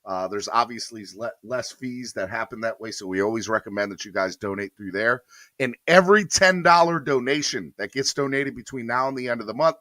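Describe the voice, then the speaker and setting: A man hosting a live morning sports show, his voice a little hoarse but bright and forward. He talks upbeat and straight to his viewers. The sound is close and dry, with no room around it. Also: medium pitch, brisk, dry recording. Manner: upbeat, addresses viewers directly. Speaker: podcast host.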